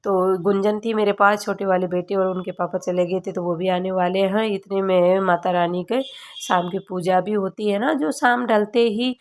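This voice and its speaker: Hoarse woman chatting in a home vlog. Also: mid-range voice, steady tone, a little mellow. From Rajasthan, India. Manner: chatty, halting, many filler sounds. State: hoarse from a cold. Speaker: family vlogger. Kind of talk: casual vlog narration.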